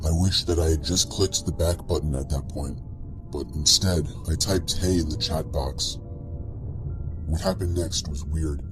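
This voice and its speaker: Deep-voiced man narrating horror stories. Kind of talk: scripted first-person scary story narration. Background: music.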